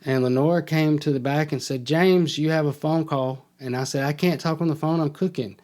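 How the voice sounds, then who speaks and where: A man's voice: a little high, fairly even intonation, a little fast, a little mellow. A middle-aged man, a podcast guest, telling his own story in a casual way and acting out remembered dialogue.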